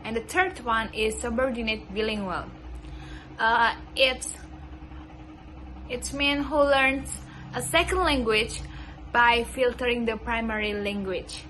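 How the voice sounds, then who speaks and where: Student type: young woman, Indonesian accent, a touch high-pitched, careful and unhurried delivery of a prepared class talk. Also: fairly even intonation, pushed and bright, slight background noise, poor audio. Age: young adult. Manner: slow, careful reading of a prepared script. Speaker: student presenter. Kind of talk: class presentation.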